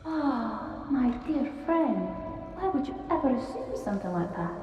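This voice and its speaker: A woman's voice: on the high side, lively intonation, a little slow, faint music behind. A woman voicing an eccentric female potion brewer and magic mentor in an audio drama, teasing, fussy and theatrical. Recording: roomy distant dull-toned sound.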